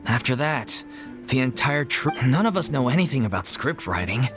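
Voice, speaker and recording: A woman's voice: extremely low pitch, big pitch swings, normal speed. A woman acting as an earnest, polite young theatre troupe member in a video game. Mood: troubled, weary. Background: background music.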